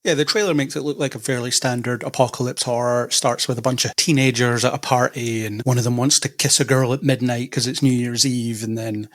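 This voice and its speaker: A man's voice: medium pitch, fairly even intonation, fairly quick, hardly any pauses. A man from Scotland with a Scottish accent hosts a casual film discussion in a wry, matter-of-fact way. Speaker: podcast host.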